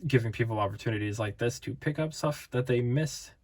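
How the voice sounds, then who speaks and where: A man's voice: mid-range voice, fairly quick, darkish tone. Rambling tired man reviewing toys. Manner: rambling, playful, self-interrupting. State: tired, voice fading. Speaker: toy collector reviewer. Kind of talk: casual toy review.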